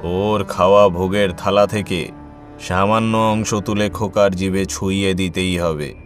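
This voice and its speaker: Man as a deep, sinister family priest. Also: steady tone. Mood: coldly commanding. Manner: solemn, measured, commanding. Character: sinister male family priest, solemn, coldly commanding. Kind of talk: dramatic horror audio story acting. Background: music.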